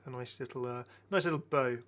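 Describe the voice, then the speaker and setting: A man's voice: mid-range voice, a little fast, fairly bright tone. A man giving casual, enthusiastic game commentary as a chatty, rambling video host.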